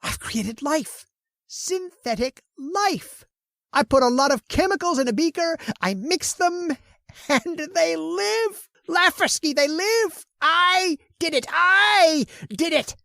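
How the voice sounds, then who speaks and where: A woman's voice: very high-pitched, expressive, slow, vocal fry, pushed and bright, dry recording, clean studio sound, heavily acted. A woman voicing a manic, arrogant male scientist in a radio horror play, bullying his assistant and gloating in frantic outbursts. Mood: manic triumph. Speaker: voice actor. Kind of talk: old-time radio drama acting.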